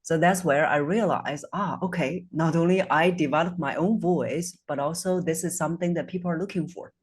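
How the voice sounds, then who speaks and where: Husky-voiced woman voice coach. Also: low voice, moderate pace. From Singapore. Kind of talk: interview guest answering questions.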